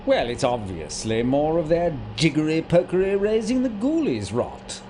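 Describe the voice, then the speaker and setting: An actor playing a flippant, sardonic British officer with a drawl at a wartime briefing in a video game. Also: male voice, on the high side, sing-song, moderate pace, dark tone, a little hiss or hum.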